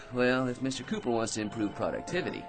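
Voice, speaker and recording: A man's voice: medium pitch, big pitch swings, moderate pace, a bit bright, faint music behind. An actor playing a long-winded, argumentative office bore in his forties who prattles, repeats himself and lectures in a radio drama. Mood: giddy. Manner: pushy, prattling, chuckles at his own points, repeats himself.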